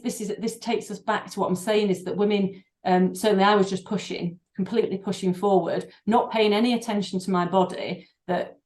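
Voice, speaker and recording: A middle-aged woman, a doctor and breathing therapist, speaking in a podcast interview, thoughtful and halting with many fillers and restarts. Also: lowish voice, darkish tone. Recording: echoey room.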